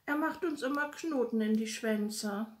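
A woman's voice: on the high side, a little slow, reverberant, natural voice. A woman voicing whiny, protesting little mouse children in a storybook, with a piping voice.

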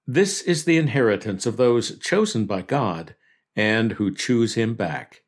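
Male devotional host, mid-pitched, measured earnest read, scripted scripture reflection.

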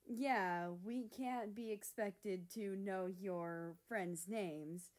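A woman voicing a sassy, sharp-tongued male character in a story, with an overdramatic, scoffing manner. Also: mid-range voice, fairly even intonation, very slow. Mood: teasing. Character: sassy, theatrical male personified country, sharp-tongued friend.

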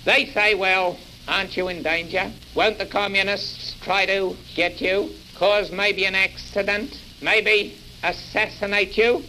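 A man speaks as an emphatic orator. His voice is high and very sharp, with faint noise on the recording. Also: fairly even intonation, unhurried, poor audio. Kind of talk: impassioned rhetorical public speech.

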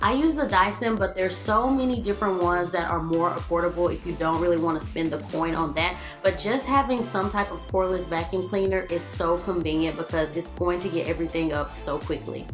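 Upbeat young woman giving cleaning tips, kinda echoey, soft music under it. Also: on the low side, steady tone, brisk, hardly any pauses. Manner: chatty, upbeat, addresses viewers as you guys. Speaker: lifestyle vlogger. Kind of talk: casual home cleaning tips vlog. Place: large home, bedroom.

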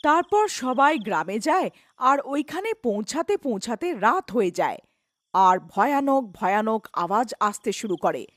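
A woman narrating a scripted animated story as its storyteller. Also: a little high, normal speed, no echo.

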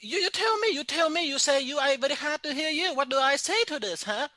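A man's voice: very high-pitched, animated, a little fast, sharp tone, no echo, clean studio sound, pitched above the performer's own voice, exaggerated voice. A man voicing an irate woman restaurant owner who speaks broken English and stammers out insults. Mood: indignant. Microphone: phone call.

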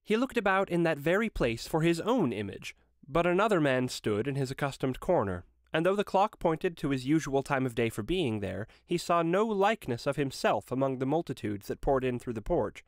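A man's voice: fairly high pitch, sing-song, normal speed, no echo, studio quality. A man reading a classic story aloud as narrator, in a dramatic storytelling style.

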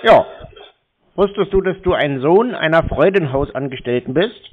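A man delivers teasing phone banter with a deadpan, provoking manner. His pitch moves around in lively swings, and the audio is somewhat dull and lo-fi. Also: moderate pace. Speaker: prank call recipient, scambaiter.

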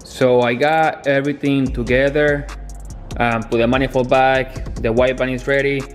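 A young man talking casually in a garage workshop, filming himself on a handheld camera, halting and restarting as he explains his car work. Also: mid-range voice, little pitch movement, faint music behind. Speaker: car builder.

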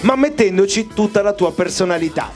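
A man's voice: sits high, lively intonation, a little fast, a little mellow, soft background music. A man in his thirties hosting an interview on a festival stage, asking teasing, playful questions into a handheld mic. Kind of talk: casual interview. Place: festival stage tent outdoors.

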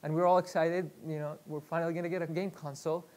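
Man, from Iraq, thirties, slightly high voice, chatty workshop talk, lots of fillers, headset mic, lecture room. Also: little pitch movement, quick pace, a little mellow. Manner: chatty, repeats words, many fillers. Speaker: guest speaker. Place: lecture room with projector screen.

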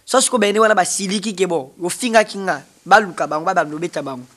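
A man's voice: high voice, quick pace, a bit bright. A young man with a Congolese accent, a musician guest in a TV studio interview, talking animatedly and gesturing with his hands. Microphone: standing studio mic.

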